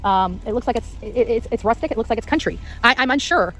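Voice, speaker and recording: A woman's voice: fairly high pitch, fast talker, fairly bright tone, faint music behind. A woman doing unscripted vlog-style reporting outdoors, often repeating her phrases. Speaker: amateur on-site reporter. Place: outdoors in quiet rural area.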